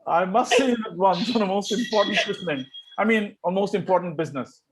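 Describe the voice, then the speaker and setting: A man reading the part of an impetuous young Victorian gentleman suitor in an amateur play reading, wordy and persuasive. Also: high voice, brisk, background noise, natural voice. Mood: hurried. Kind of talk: amateur play table read.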